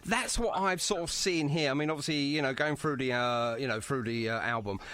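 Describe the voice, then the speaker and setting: A man's voice: mid-range voice, brisk, fairly bright tone. Casual male music podcast host. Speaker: podcast host interviewing a band.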